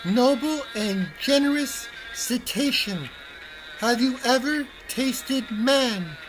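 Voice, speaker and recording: A man voicing a small, shrewd, polite talking fish in a small squeaky storybook voice. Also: lively intonation, slow pace, music in the background, exaggerated voice, rough recording. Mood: sly, polite.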